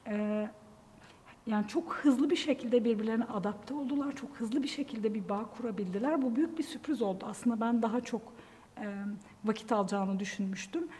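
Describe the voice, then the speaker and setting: A woman, a teacher, giving a halting, reflective answer in an unscripted interview. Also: fairly high pitch, warm tone. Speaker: teacher in an international student project. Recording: very reverberant hall.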